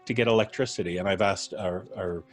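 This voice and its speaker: A man hosting a casual live stream, presenting in a courteous, unhurried way. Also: low-pitched, soft background music. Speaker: podcast host introducing the cast.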